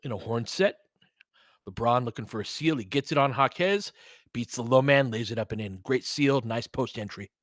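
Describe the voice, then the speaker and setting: A man's voice: medium pitch, moderate pace, no room sound, clean studio sound. A man doing casual basketball play-by-play as a stream host, in clipped phrases with chatty asides to listeners.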